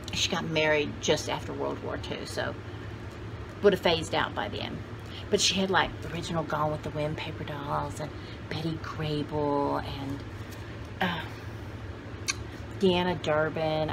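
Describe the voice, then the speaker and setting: A woman's voice: low-pitched, vocal fry. Older lady in her 60s rambling to camera, folksy, takes her time, noisy background. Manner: folksy, exclamatory, talks to herself and viewers. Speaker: vlogger showing vintage finds. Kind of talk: casual rambling chat to camera. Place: cluttered indoor room with shelves.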